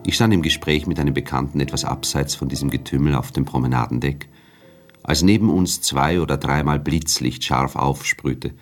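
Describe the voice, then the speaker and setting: A very deep-voiced man narrates a radio play as a refined, observant storyteller. His delivery is composed and measured, with articulate literary phrasing. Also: faint music behind. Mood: composed, narrating. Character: refined male first-person narrator of a novella, observant and composed.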